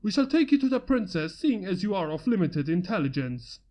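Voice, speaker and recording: A woman's voice: medium pitch, sing-song, brisk, warm tone, close to an everyday voice. A woman voicing a grandiose male pony knight who proclaims his lines in old-fashioned, overblown speech. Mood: pompous. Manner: archaic dramatic proclaiming.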